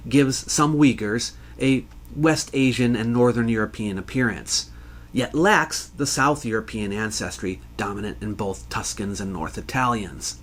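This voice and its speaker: Measured man explaining history. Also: medium pitch. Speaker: history educator and channel host. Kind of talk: scripted educational narration answering listener questions.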